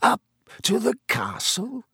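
A man's voice: on the high side, very animated, a little slow, husky, dry recording, clean studio sound, voice pushed up, exaggerated voice. A man voicing a sneering, vengeful schoolteacher with a silky, menacing, contemptuous manner in an audiobook. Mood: silky menace. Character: sneering, triumphant, fanatical male schoolteacher bent on vengeance.

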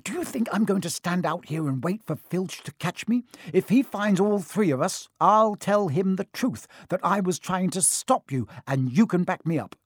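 A man voicing a bossy, indignant young schoolgirl in a shrill, scolding, insistent manner for a storybook. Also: animated, normal speed, few pauses, slightly rough, dry recording, clean studio sound.

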